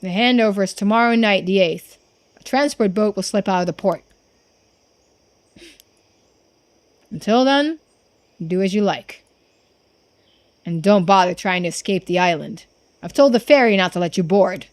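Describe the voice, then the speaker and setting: A woman's voice: medium pitch, expressive, moderate pace, natural voice. Woman voicing a stern, domineering island patriarch. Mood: cold, commanding. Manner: scornful, commanding. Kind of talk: visual novel character voicing.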